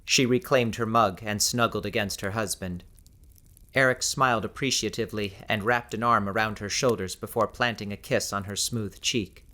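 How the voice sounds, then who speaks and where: A man with a fairly low voice narrates an audiobook. His delivery is measured and descriptive, shaped as scripted fiction storytelling. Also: steady tone, sharp tone.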